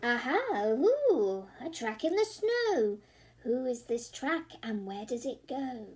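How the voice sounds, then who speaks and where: A woman's voice: high voice, very animated, slow pace, a little mellow, a little hiss or hum, full character voice. A woman voicing a bold, curious young monster girl in a children's storybook, exclamatory and singsong. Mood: curious, excited.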